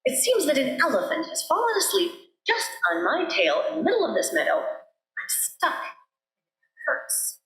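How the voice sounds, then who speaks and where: A woman's voice: high voice, wildly swooping pitch, measured pace, a bit bright, pitched above the performer's own voice, heavily acted. A woman voicing a small male mouse who is trapped and politely, desperately pleading, in a children's storybook style. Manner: pleading, exclaiming. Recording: roomy sanctuary echo.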